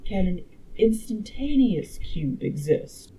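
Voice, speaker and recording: Woman acting clever, emphatic lady time traveler, mellow. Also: mid-range voice, big pitch swings, slow, a little hiss or hum, voice pushed up, low-quality recording. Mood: earnest lecturing. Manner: emphatic, precise, didactic. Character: clever, assured female time traveler, lecturing patiently. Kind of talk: acted reading of a classic novel.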